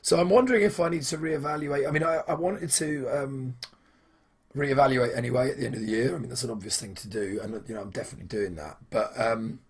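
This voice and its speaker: A young man with a husky, hoarse voice, chatting casually in a weekly trading vlog, rambling with many fillers and restarts. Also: mid-range voice. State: hoarse from shouting at football. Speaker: trading vlogger.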